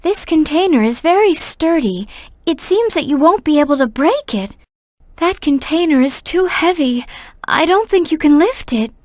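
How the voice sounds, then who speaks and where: A woman voicing a gentle, earnest young girl in a video game, caring and polite in the way she talks. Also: high voice, animated, normal speed, no echo, studio quality. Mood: gentle, helpful.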